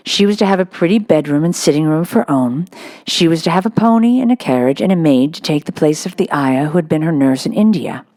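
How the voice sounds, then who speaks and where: Woman, low voice, children's audiobook narration, dry close sound. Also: a little mellow, no room sound. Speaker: audiobook reader. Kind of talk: scripted storybook narration for children.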